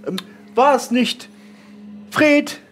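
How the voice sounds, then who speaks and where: A man voicing a frail, nosy, confused gentleman of seventy-eight in an improvised audio drama, doddering yet insistent. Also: very high-pitched, very slow, full character voice. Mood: probing.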